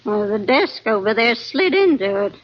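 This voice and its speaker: A chatty, motherly older woman. Her voice is high and homely, full of gentle fussing. It sounds like a muffled 1940s radio drama. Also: very animated, normal speed. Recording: muffled old radio recording.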